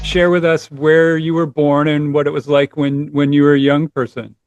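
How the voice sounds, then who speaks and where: Middle-aged male podcast host, friendly and halting, fairly high voice, soft music bed. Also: level tone, clear, resonant tone, no echo. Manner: friendly, halting with fillers and restarts. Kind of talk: podcast interview.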